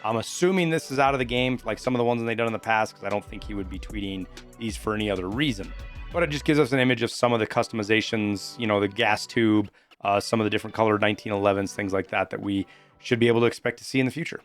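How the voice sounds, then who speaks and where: A man's voice: lowish voice, fast talker. Casual gaming news man over music. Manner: informal, conversational, explanatory. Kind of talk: casual video game news commentary. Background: background music.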